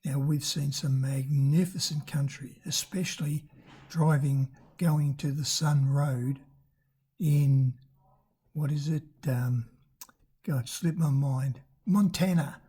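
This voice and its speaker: An older travel vlogger with a raspy voice, narrating a road trip in a chatty, unhurried way, pausing now and then to search for words. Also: medium pitch, fairly even intonation, warm tone. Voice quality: raspy from damaged vocal cords.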